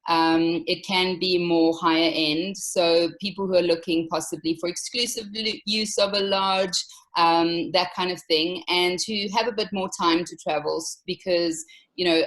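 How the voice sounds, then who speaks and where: A woman in her thirties from South Africa with a South African accent, a travel company owner answering interview questions in a relaxed way, with many ums. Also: fairly low pitch, poor audio. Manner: soft-spoken, many ums, unhurried. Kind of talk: casual interview.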